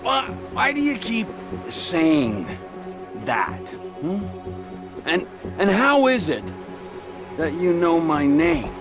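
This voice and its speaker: A volatile male criminal in a video game, suspicious and quick to explode into shouted insults. His voice sits high and swings wildly, the words coming slow and drawn out. Game music runs beneath him. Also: wildly swooping pitch, heavily acted. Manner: suspicious, erupts into screaming insults.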